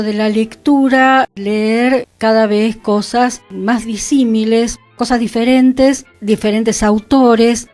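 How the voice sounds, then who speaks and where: A woman's voice: on the high side, darkish tone. Argentine woman radio host over music. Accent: Buenos Aires Argentine. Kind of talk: conversational radio discussion about culture.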